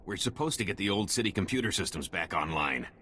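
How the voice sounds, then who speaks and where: Very deep, forceful commander. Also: male voice, animated, quick pace, a little hiss or hum. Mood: businesslike. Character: gruff, commanding male military engineering chief. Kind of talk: video game dialogue.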